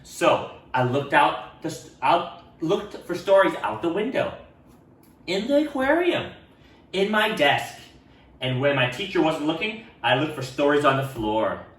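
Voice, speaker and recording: A man reading a picture book aloud to children in an expressive manner, speaking to them directly. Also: fairly high pitch, a little slow. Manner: expressive, addresses children directly, acts out dialogue. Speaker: read-aloud storyteller for children. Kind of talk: animated picture-book read-aloud with asides. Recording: roomy echo, distant microphone.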